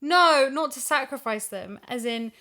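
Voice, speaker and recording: Female podcast guest, fairly high voice, conversational, emphatic and keen to argue a point. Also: expressive, measured pace, sharp tone. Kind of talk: casual discussion of an audio drama.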